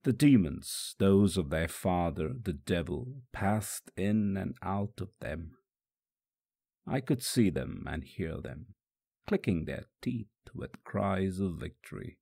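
A man voicing a sorrowful, tender condemned holy man, telling his suffering in a grave, reflective storybook monologue with a gravelly, husky voice. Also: low voice, animated, takes it slowly, mellow, full character voice. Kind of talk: solemn first-person dramatic monologue.

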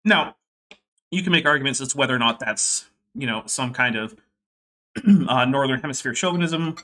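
A man's voice: mid-range voice, lively intonation, normal speed, pushed and bright. A young man casually hosting a livestream from home, chatting wryly and reading viewer messages. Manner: chatty, wry, reading super chats aloud. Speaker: livestream host.

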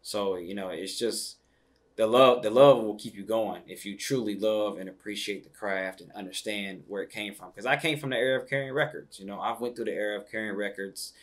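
A middle-aged man speaks in a slightly low voice. He rambles comfortably through his memories, full of "you know" asides, as the guest in a sit-down studio interview. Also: a little fast. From Indianapolis, Indiana. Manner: relaxed, rambling, many "you know" fillers. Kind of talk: casual interview storytelling.

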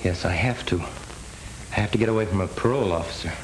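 An American actor playing a desperate, determined young ex-convict with noble scruples in a 1930s crime film. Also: male voice, low-pitched, fairly quick, natural voice. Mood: somber. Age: young adult. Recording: noisy old 1930s film soundtrack.

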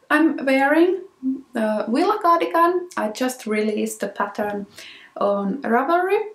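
Finnish-accented woman in her 30s, slow and a touch high, unhurried host talking to camera in a small echoey room. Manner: unhurried, many ums and restarts. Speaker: knitting podcast host. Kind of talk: casual solo talk to camera. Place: small room with plain wall, indoors.

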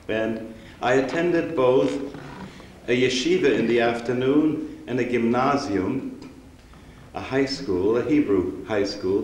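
A man's voice: medium pitch, darkish tone. Older man lecturing in a roomy hall. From Austria. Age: 60s. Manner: measured, reflective, unhurried storytelling. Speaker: rabbi and lecturer. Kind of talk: public lecture. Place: synagogue hall, seated on stage before an audience. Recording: roomy hall recording with faint hiss.

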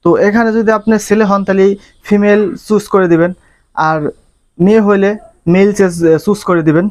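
A man's voice: high voice, steady tone, normal speed, darkish tone. A man narrating a casual step-by-step phone tutorial, instructing viewers directly. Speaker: tech tutorial host.

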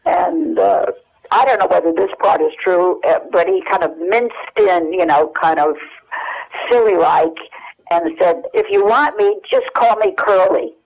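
An older American woman reminiscing as an interview guest, telling anecdotes haltingly over a telephone. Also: lowish voice, animated, creaky. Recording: dull lo-fi telephone hook-up.

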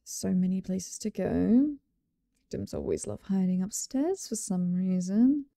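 A woman's voice: medium pitch, lively intonation, unhurried, vocal fry, dark tone, no room sound, clean studio sound. A British woman playing a cheerful, chatty slasher killer in an ASMR roleplay, teasing and casual with sweet menace. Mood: playfully menacing. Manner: playful, casual, teasing, amused.

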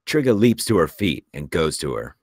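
A man narrates a screenplay in a very deep voice. He reads out scene and action directions as scripted narration. The sound is dry, with little room. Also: big pitch swings, normal speed, a little mellow, no echo. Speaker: narrator reading stage directions.

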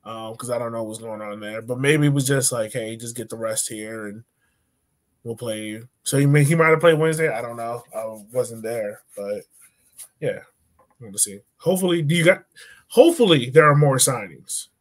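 A man's voice: mid-range voice, normal speed. A man in his thirties co-hosting a casual soccer chat over a video call from home. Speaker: soccer podcast co-host. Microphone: video call microphone.

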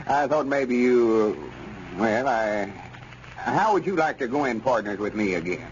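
An actor playing an opinionated old rural storekeeper with a Southern country accent in a vintage radio comedy. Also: male voice, medium pitch, lively intonation, a little slow, natural voice. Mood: eager, persuasive. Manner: folksy, opinionated. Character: folksy rural Southern storekeeper, practical know-it-all old man. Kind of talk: 1930s radio comedy acting. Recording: muffled, noisy old radio recording.

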